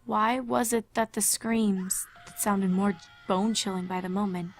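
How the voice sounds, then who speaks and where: A woman reading scripted dramatic story narration in a measured, expressive way. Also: mid-range voice.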